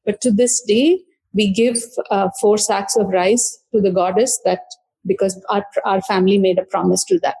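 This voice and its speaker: A woman author talks about her book. She speaks in a thoughtful, unhurried way, often pausing, restarting and searching for words, at a middling pitch. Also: steady tone, dark tone, slightly rough, low-quality recording. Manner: thoughtful, many fillers and restarts. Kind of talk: conversational book discussion.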